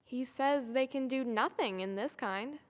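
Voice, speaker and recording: Woman acting a noble bride, highish, reverberant. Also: unhurried. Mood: concerned. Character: noble, thoughtful bride of the duke. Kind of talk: theatrical Shakespearean audio drama acting. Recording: long reverberant hall echo.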